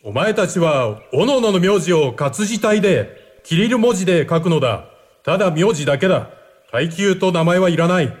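Stern, commanding Japanese officer, highish voice, reverberant hall, radio drama. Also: male voice, lively intonation, fast. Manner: formal, commanding. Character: stern Japanese army officer addressing prisoners.

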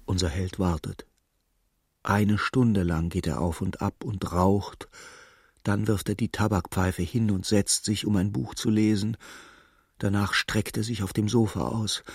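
An actor narrating a literary radio play as a sombre storyteller, measured and dryly ironic. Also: male voice, lowish voice, a little mellow, husky, no room sound, studio quality. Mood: dry, subdued. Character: sombre, wry male storyteller of a feverish literary tale.

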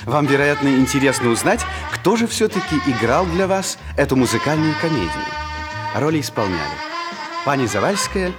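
A man giving a measured, theatrical, formal cast announcement on an old 1960s radio recording. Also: medium pitch, sing-song. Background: music.

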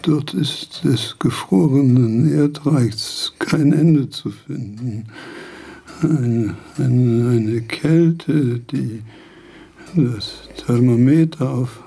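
Dying old philosopher in a radio drama, really slow n halting, mellow raspy voice, trails off. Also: male voice, medium pitch, heavily acted. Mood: labored, musing. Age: older. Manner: halting, repeats words, trailing off. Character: frail, dying old philosopher, nearly eighty, wandering mind. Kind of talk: German radio play acting.

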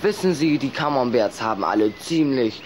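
A male actor playing a cautious, cheeky, quick-witted boy in a German children's radio play, casual and placating. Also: medium pitch, lively intonation, noisy background, natural voice. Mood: nervous, placating.

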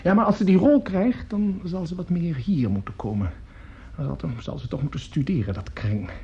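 An actor playing an excitable, coarse opera conductor in a radio play, effusive and grumbling, stammering and swearing as he talks. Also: on the high side, moderate pace, lightly acted. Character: excitable, coarse, opinionated male opera conductor at his piano. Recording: dull old radio recording, piano music.

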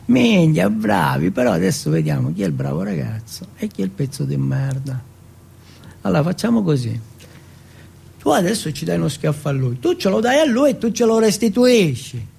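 A man performs a young jailhouse bully for the stage, big and cruel. His voice rides high with a husky, creaky grain. He taunts and coaxes, repeating coarse commands with mocking bossiness, over faint music. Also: sing-song, moderate pace, darkish tone, exaggerated voice. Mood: mocking, interrogating. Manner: taunting, coaxing, repetitive commands, coarse swearing. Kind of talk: theatrical stage monologue.

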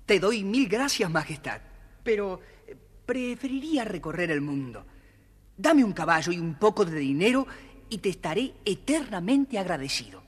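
A man's voice: high-pitched, sing-song, normal speed, a little hiss or hum. An actor, a man, playing a curious, polite young servant in a children's story. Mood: deferential.

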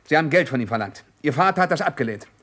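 Shrewd, commanding police inspector. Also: male voice, on the high side, expressive, rapid-fire, a bit bright. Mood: stern, accusing. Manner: probing, authoritative, dryly mocking. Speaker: actor in a crime radio drama. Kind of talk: German radio drama acting.

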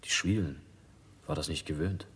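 An actor playing a weary gravedigger in a plague-era radio play, telling his grim memories in a sombre, measured way. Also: a bass voice, fairly bright tone, slightly rough, heavily acted. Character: weary gravedigger of plague-time London, grimly recalling. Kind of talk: 1960s German radio play acting. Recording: long hollow reverb.

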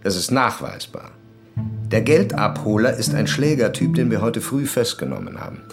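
An actor playing a determined, grim police commissaire in a crime radio drama, speaking deliberately and formally. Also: male voice, fairly low pitch, big pitch swings, vocal fry, loud music behind the voice. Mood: formal, insistent.

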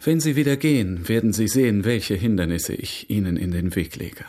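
A man plays a well-mannered gentleman suitor in a radio drama. His voice is husky and rough-edged, his manner understated, curious and gently flirtatious. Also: lowish voice, normal speed, lightly acted. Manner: polite, probing, understated. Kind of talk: German radio drama acting.

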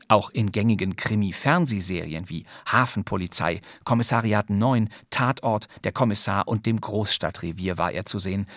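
A man presenting as a podcast host in a scripted, measured and articulate radio announcer manner. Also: low-pitched, a bit bright, dry recording. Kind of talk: scripted radio presentation of a classic crime play.

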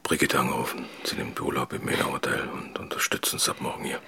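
Very deep, gravelly worried father. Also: lively intonation, fast talker, sharp tone, exaggerated voice. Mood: hushed worry. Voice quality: gravelly, rasping near-whisper. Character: gruff, worried father keeping his voice down. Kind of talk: German radio drama acting.

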